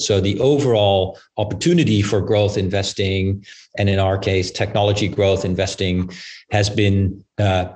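A man speaking as an investment professional on a panel, explaining things in a measured, halting, unscripted way. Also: deep voice, a little mellow. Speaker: growth equity investor, panel guest. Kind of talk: unscripted panel interview answers.

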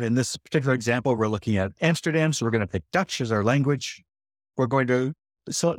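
A man presenting a casual software walkthrough, explaining settings step by step and correcting himself as he goes. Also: mid-range voice, no room sound, clean studio sound. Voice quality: self-correcting, conversational. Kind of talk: screen-recorded software demo walkthrough.

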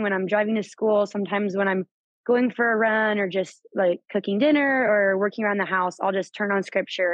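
A woman's voice: mid-range voice, no room sound. Earnest young woman, dull dry sound. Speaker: camper guest. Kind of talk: personal testimony on a call.

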